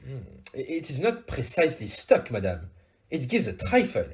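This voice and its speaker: A man's voice: mid-range voice, huge pitch swings, normal speed. A man playing a courteous, self-assured Belgian detective in an audio play, with a French-speaking Belgian accent and formal, precise, theatrical phrasing. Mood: polite, curious.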